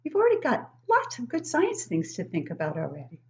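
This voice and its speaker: An older woman reads a picture book aloud to young children like a teacher, expressively, pausing to ask them questions. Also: on the high side, huge pitch swings, darkish tone, muffled recording. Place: living room at home, indoors.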